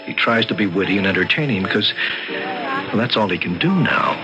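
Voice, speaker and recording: Blunt doctor in radio drama, lively intonation, muffled old sound, music behind. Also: male voice, low voice, moderate pace. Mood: insistent, blunt. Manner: blunt, probing. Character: frank, insistent doctor warning a married woman.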